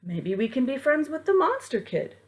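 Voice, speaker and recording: Perempuan memerankan camilan kecil yang ekspresif dalam buku cerita. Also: female voice, sits high, sing-song, moderate pace, pitched above the performer's own voice. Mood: hopeful. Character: female cartoonish snack characters, cheese doodle and pretzel, small and hopeful.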